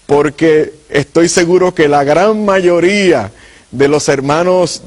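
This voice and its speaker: Husky Puerto Rican preacher man. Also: sits high. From Puerto Rico. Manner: earnest, addresses viewers directly. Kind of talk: apologetics teaching.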